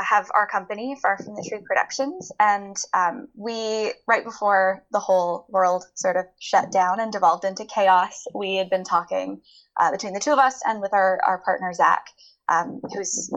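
A woman's voice: medium pitch, fairly bright tone. Woman interviewee on dull lo-fi audio. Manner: informal, explanatory storytelling. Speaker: interview guest, audio drama producer. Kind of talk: conversational interview answers.

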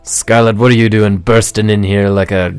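A man voicing a gloomy hard-boiled male private detective in a comic radio noir drama, talking in deadpan, brooding narration. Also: lowish voice, moderate pace, clear, resonant tone, soft background music, natural voice.

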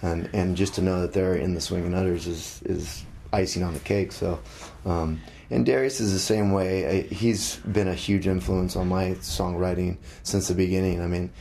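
A middle-aged musician being interviewed, talking casually with many halting restarts. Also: male voice, low-pitched, fairly even intonation, vocal fry, warm tone, slight background noise.

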